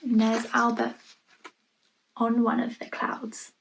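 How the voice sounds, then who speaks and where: High-voiced, unhurried woman reading a storybook. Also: gravelly, poor audio. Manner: expressive, playful, addresses the listener with questions. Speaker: storybook reader for young children. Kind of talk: read-aloud storytime with chatty asides about the pictures. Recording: noticeable background noise, home online recording.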